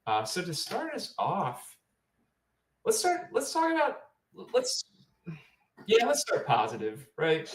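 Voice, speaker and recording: A man's voice: high voice, wildly swooping pitch, slow pace, long pauses. A man hosting a casual podcast, chatting in an informal way. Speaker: podcast host. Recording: roomy, echoing room sound.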